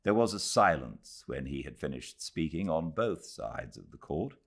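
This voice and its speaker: A British man narrating a literary classic as an audiobook reader, with measured, dramatic phrasing and expressive delivery. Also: rumbling low, gravelly, husky. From Britain.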